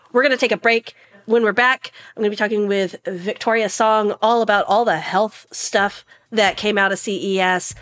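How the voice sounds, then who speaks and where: A woman hosting a tech podcast, playful and enthusiastic, tossing in quick jokes. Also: mid-range voice, fairly bright tone, no room sound. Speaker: podcast host.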